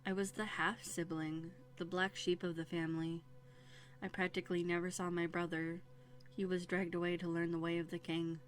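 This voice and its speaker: A woman playing a young runaway princess in a roleplay audio drama, bookish and quietly bitter, talking earnestly and hesitantly into a close microphone. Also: lowish voice, level tone, moderate pace, close to an everyday voice. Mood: bitter, wounded. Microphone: close USB condenser microphone.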